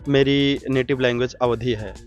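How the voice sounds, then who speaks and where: A man's voice: medium pitch, steady tone, normal speed, soft background music. A man answering an interviewer's question, explaining in a thoughtful, lecture-like way.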